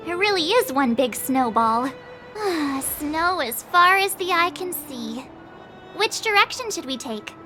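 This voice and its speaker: A woman's voice: high-pitched, lively intonation, slow, sharp tone, faint music behind, heavily acted. A woman voicing a perky, cocky teenage girl adventurer in an anime-style game, chirpy, breezy and teasing. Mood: upbeat.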